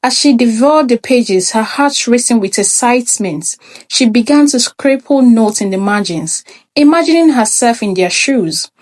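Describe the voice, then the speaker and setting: A woman reading a scripted folktale as a storyteller, measured and expressive. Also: on the high side.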